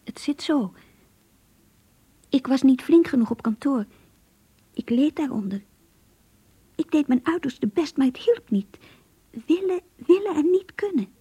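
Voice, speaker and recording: An actress in a radio play voicing an earnest, introspective young woman who confides in her diary in an intimate, breathy voice. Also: high-pitched, animated, unhurried, long pauses, mellow, no echo. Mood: wistful, troubled. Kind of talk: radio drama diary monologue.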